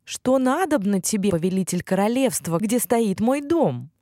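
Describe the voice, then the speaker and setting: A woman voicing a wise, stern, proud magical unicorn in a storybook, speaking formally and with measured admonishment. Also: mid-range voice, very animated, dark tone, no room sound, studio quality, close to an everyday voice. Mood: solemn.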